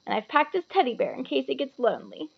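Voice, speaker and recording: A woman voicing a soft-hearted, doting man in a storybook, emotional and halting in delivery. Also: sits high, wildly swooping pitch, creaky, lo-fi sound, exaggerated voice. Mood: muffled, fond. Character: soft-hearted, tearful man doting on his pet dragon.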